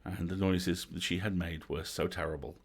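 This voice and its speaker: Very deep, creaky male storyteller. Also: steady tone, moderate pace. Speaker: storyteller, host. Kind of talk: expressive scripted story narration.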